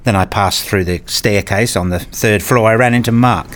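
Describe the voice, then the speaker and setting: A man's voice: on the low side, moderate pace, slight background noise, close to an everyday voice. Dry, bookish male academic played by an actor. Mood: dry, wry. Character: wry male academic narrating his own puzzled days. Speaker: narrator and lead character in a radio play. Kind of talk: scripted first-person narration and dialogue.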